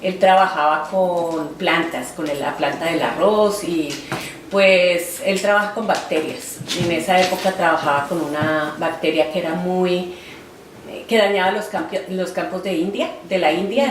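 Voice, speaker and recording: A Colombian woman in her forties, an artist reflecting in an oral history interview with a halting, filler-heavy delivery. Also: fairly low pitch. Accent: Colombian Spanish. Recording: roomy, distant mic, faint noise.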